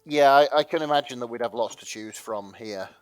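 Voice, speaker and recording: A man's voice: mid-range voice, fairly quick, dry recording, rough recording. A man chatting casually as a panellist on a podcast. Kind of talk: casual banter chat.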